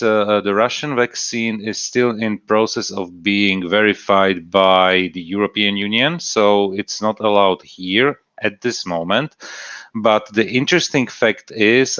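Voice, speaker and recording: A man's voice: fairly low pitch, a little slow, a bit bright, clean tone, no room sound. A man from Slovakia chatting casually on a stream, answering a chat question with many fillers and restarts. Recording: dull lo-fi stream audio.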